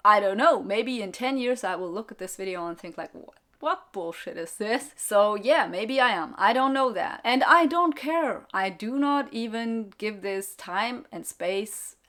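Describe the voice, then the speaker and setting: A woman's voice: on the high side, expressive, normal speed, few pauses, fairly bright tone. Blunt woman with a non-native accent. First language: not English. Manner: blunt, candid, mocks other creators with sarcastic asides. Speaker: podcast host and YouTuber. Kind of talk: opinionated solo podcast monologue.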